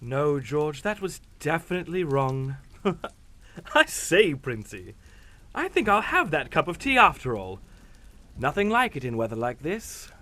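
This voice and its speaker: Sly, unflappable blackmailer for radio drama, mocking drawl, slightly high pitch, swooping intonation. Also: male voice, very animated, bright, forceful tone, exaggerated voice. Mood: smugly needling. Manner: suave, mocking, drawn-out insinuation. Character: sly, unflappable English captain, needling blackmailer.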